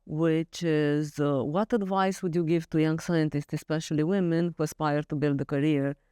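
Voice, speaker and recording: A woman's voice: low voice, steady tone, normal speed, dark tone, clean tone, no echo, clean studio sound. A woman hosting an interview, asking questions politely with hesitant phrasing and fillers. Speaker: podcast host.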